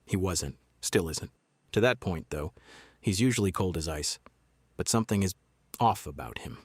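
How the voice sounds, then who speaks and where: An actor playing a guarded small-town sheriff in a noir audio drama, laconic, deadpan and measured. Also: male voice, low-pitched, dry recording, studio quality. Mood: terse, uneasy.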